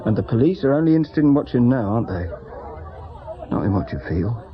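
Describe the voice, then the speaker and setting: An actor playing a loyal, guarded friend who distrusts the police, in a radio drama, speaking firmly. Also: male voice, mid-range voice, lively intonation, fast talker, natural voice. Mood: guarded, bitter. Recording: dull sound over chattering background crowd.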